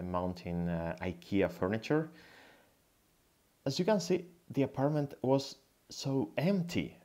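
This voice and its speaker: A man from Spain with a Spanish accent giving an explanatory slide lecture, pausing hesitantly as he goes. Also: low voice, a little mellow. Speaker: guest lecturer, tech founder.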